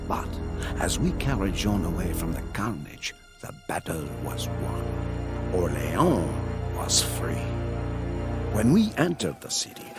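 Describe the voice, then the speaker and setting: An actor playing a weary, devoted soldier, telling of a war campaign in solemn, theatrical game narration. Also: male voice, sits low, sing-song, measured pace, full character voice. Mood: stirring. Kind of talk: dramatic scripted storytelling narration. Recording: loud music under the voice. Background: loud music.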